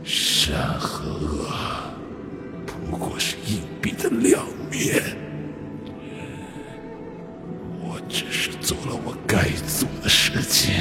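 A man acting a treacherous red-robed priest in a fantasy audio drama, with a theatrical, breathy delivery. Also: a little high, full character voice. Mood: dying, grim.

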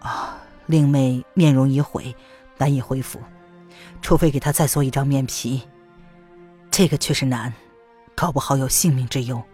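Woman doing a calm, low-voiced young healer. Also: soft background music. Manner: measured, low, slightly hesitant. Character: calm, reserved young male physician.

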